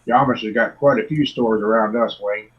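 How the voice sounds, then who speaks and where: Husky, easygoing co-host. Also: male voice, on the low side, a little fast, lo-fi sound. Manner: easygoing, talkative. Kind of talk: casual chat.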